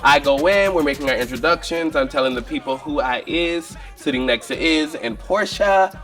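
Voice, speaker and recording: A man's voice: on the high side, moderate pace, fairly bright tone, faint music behind. A man, casually recounting events as a reality show cast member. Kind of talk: confessional recounting.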